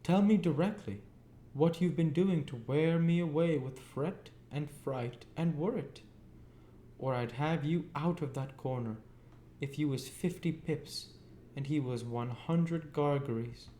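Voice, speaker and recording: A man's voice: fairly high pitch, measured pace, mellow, pitched above the performer's own voice, lightly acted. A man voicing a furious, nagging working-class woman scolding her family in a storybook reading. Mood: angry, demanding. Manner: exclamatory, nagging. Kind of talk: audiobook character reading. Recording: roomy echo, faint background noise.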